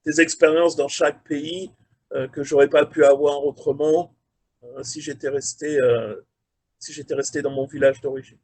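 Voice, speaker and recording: Frenchman, forties, career mentor, slightly higher voice, fills pauses with "euh". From France. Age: 40s. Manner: relaxed, hesitant with many fillers. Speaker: career mentor, quality manager. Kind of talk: video call interview. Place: his office at a factory. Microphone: video call.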